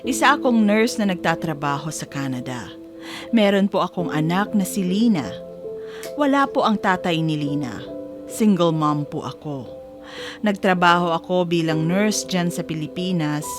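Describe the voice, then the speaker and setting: A woman's voice: on the low side, expressive, normal speed, over music, natural voice. A woman playing a homesick, devoted Filipino mother who narrates her own story in an audio drama. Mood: earnest.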